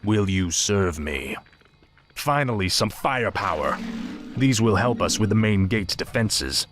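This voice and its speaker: A stern undead commander with a fairly low pitch. He is grim, dutiful and commanding, like an officer in a fantasy game, with very loud noise around him. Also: animated, unhurried. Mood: grim resolve. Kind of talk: fantasy game cutscene dialogue. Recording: loud battle ambience behind voice.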